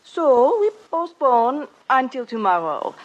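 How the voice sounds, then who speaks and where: An actress playing a sweet young French bride-to-be in a 1950s radio drama, coquettish, with a French accent and broken English. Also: female voice, high-pitched, sing-song, takes it slowly, highs cut off, exaggerated voice. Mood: bubbly. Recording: vintage 1950s radio broadcast.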